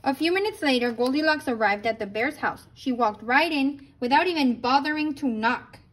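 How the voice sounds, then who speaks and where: High-voiced woman reading a storybook. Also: moderate pace. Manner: animated storytelling with brief asides. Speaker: storybook reader. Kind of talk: expressive children's read-aloud.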